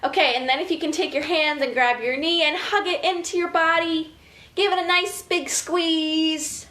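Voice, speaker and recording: An American woman leading children's story time as an educator, expressive and chatty, reading and giving instructions directly to young listeners. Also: high voice. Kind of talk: animated picture-book reading and casual guided instruction for young children.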